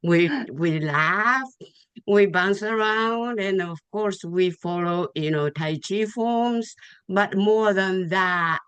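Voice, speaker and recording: A woman from Japan with a Japanese accent, giving an informal, heartfelt testimonial in a halting way, searching for words and repeating phrases. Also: mid-range voice, a bit bright, clear, resonant tone, no room sound. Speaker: Tai Chi student giving thanks at an online gathering. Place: online video call.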